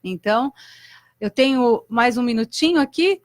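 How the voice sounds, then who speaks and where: A woman's voice: a little high, lively intonation, no room sound. A woman, a singer chatting effusively as a guest on a live radio talk show. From Brazil. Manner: effusive, chatty, quick to laugh. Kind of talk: casual live radio chat.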